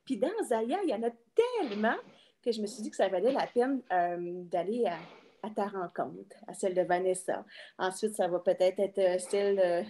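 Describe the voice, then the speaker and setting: A woman's voice: mid-range voice, normal speed, dark tone. A woman with a Quebec French accent hosting a casual online interview in an informal, chatty way, often feeling for her words. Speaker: interviewer and host.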